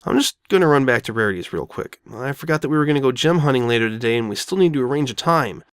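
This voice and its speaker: A man's voice: mid-range voice, lively intonation, fast, clear, resonant tone, dry recording, studio quality, close to an everyday voice. A man voicing a caring, perceptive young boy dragon with a casual, direct, youthful manner in a dramatic fan fiction reading. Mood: eager, evasive.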